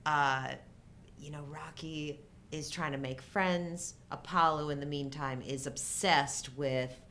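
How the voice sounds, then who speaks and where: A middle-aged woman co-hosting a show in casual movie chat, talking informally in halting fragments. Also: steady tone, a bit bright. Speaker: co-host of a live chat show. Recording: low rumble and background noise.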